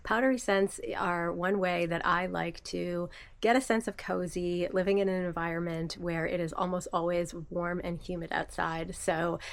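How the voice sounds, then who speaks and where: A woman with a slightly stuffy nose from a cold talks through her perfume favorites, soft and gushing, unhurried with plenty of "um"s. Her voice sits a little low for a woman. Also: fairly even intonation, creaky. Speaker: fragrance vlogger. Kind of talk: casual product review.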